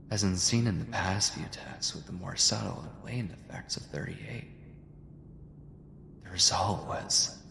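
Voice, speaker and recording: A man playing an obsessive, controlling mad scientist in an ASMR horror roleplay, speaking deliberately with a breathy, clinical yet doting manner, often near a whisper. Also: sits low, fairly bright tone, a little hiss or hum, exaggerated voice. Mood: detached, clinical. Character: obsessive yandere mad scientist, soft-spoken, controlling male captor.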